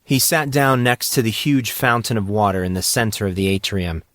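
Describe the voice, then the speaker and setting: A man reads fiction aloud as a dramatic narrator. His voice sits fairly low, and the sound is dry, with little room around it. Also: normal speed, fairly bright tone, no room sound. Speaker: audiobook narrator.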